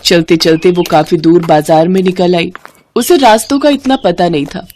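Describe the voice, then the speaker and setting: A woman's voice: lowish voice, moderate pace, darkish tone, slight background noise. A woman narrating a scripted moral story as the storyteller.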